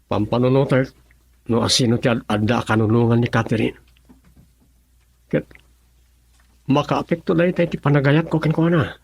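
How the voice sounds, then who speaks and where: A man's voice: medium pitch, normal speed, vocal fry, pauses often, husky. An actor playing an earnest, troubled young man in love in a melodramatic radio drama. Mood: worried.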